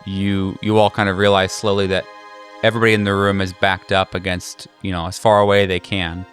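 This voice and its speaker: A man with a fairly low voice hosts and narrates a tabletop horror game. His delivery is informal and halting, slipping into jokey asides, with music playing underneath. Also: flat delivery, a bit bright. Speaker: game master and show host.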